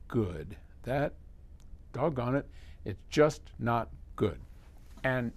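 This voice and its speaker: A man's voice: medium pitch, gravelly, a little mellow. Folksy man giving casual, conversational advice. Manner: folksy, conversational, thinking aloud. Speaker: host answering a listener's audio question. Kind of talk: casual explainer, reading a letter then advising.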